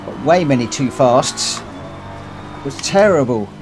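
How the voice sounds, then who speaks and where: A man streams a racing game with his own live commentary. He has a cold and a runny nose. He rambles and thinks aloud, often stopping to correct himself, and his voice sits at an ordinary mid pitch. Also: expressive, slow, vocal fry, music in the background. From United Kingdom.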